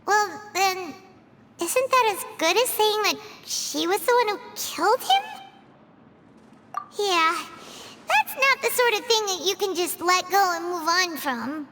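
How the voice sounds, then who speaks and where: A woman voicing a tiny, childlike fairy companion in a video game, squeaky, excitable and blunt, speaking of herself in the third person. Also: slow pace, big-room sound, very noisy, heavily acted. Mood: indignant, questioning. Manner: refers to self in third person, exclamatory. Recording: loud music behind.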